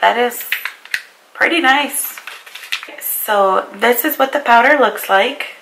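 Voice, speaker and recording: A woman in her twenties with a hoarse, raspy voice from a cold, chatting casually through a makeup review at her vanity. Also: fairly high pitch, slow pace, a bit bright, a little hiss or hum, rough recording. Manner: chatty, apologetic about her voice. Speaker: beauty vlogger. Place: bedroom vanity, indoors.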